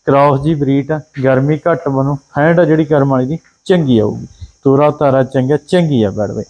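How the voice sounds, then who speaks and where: A man with a Punjabi accent hosting a livestock sale video outdoors on a dairy farm, running through each cow's traits in quick rhyming checklists. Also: mid-range voice, a little mellow, low-quality recording. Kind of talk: casual livestock sales commentary.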